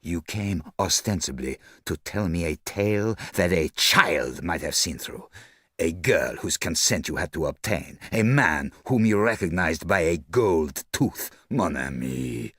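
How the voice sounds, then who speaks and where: A man voicing a fastidious older detective in an audiobook, formal and measured. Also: deep voice, lively intonation, no room sound, studio quality, heavily acted. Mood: explaining. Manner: formal, measured, quietly self-satisfied. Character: fastidious older male detective, soft-spoken and precise. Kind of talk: audiobook character voice.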